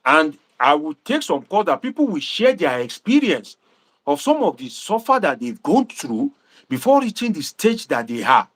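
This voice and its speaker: A Nigerian man hosting a livestream, talking to viewers in a preachy, animated way and dropping Pidgin asides. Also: on the high side, normal speed. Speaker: livestream host and political commentator.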